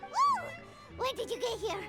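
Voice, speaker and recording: A woman voicing a small, excitable, childlike fairy companion in an anime video game, with a squeaky, perky, emphatic delivery. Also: a little slow, full character voice. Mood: chirpy. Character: small excitable childlike fairy companion, chirpy, confident, speaks in third person. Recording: music behind the voice.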